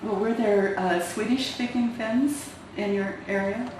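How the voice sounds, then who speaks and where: An interviewer asking brief, plain questions in an oral history session. The voice sits at a middle pitch. It is heard from well off the mic, in heavy echo under loud noise. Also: fairly even intonation, unhurried. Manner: brief prompts and backchannels. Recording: very echoey, distant, loud noise.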